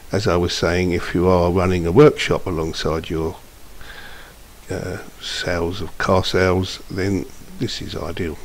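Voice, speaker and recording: A man narrating an informal software demonstration, explaining step by step in a slightly hesitant, unscripted way. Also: low voice. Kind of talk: informal screencast tutorial narration. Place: indoors, voice-over for screen recording. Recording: steady background hiss.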